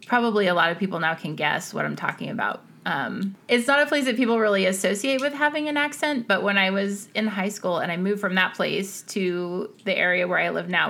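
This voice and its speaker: Bright-voiced chatty woman on a video call. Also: medium pitch, vocal fry. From US Midwest. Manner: chatty, quick to laugh. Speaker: podcast co-host. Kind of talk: casual chat. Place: at home. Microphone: laptop on a video call.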